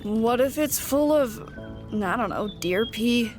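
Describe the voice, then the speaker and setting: A woman's voice: fairly high pitch, animated, slow, music in the background. A woman playing a dutiful but fretful young traveller with a hesitant manner in an audio drama. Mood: uneasy.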